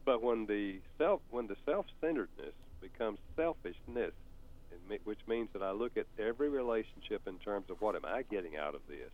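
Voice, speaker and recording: An older Southern man with a low voice talks in a lively, folksy way, his pitch rising and falling as he tells stories. The sound is tinny, like a phone line. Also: fairly quick. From North Carolina. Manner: folksy, animated and storytelling. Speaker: author and counselor guest. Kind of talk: explaining on a talk show.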